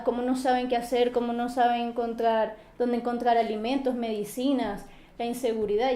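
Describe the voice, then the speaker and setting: Young Venezuelan woman with a mellow voice. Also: on the high side, reverberant. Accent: Venezuelan, Maracaibo. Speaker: interviewee. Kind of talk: interview.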